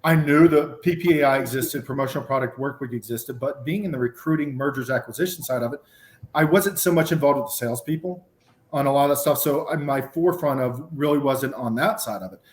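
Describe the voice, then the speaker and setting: A man's voice: mid-range voice, fairly quick. Roomy Southern man running words together. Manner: runs words together, many fillers. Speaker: guest. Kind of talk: casual podcast conversation.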